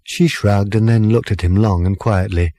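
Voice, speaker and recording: A man narrating a comic novel as an audiobook in an expressive, wry storytelling manner. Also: lowish voice, moderate pace, no echo.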